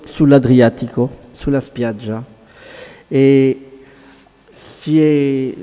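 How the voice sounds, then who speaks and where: A middle-aged man presenting and interpreting informally at a panel, in short halting phrases. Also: medium pitch, warm tone. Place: large hall, seated at panel table. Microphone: table microphone. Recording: long hall reverb.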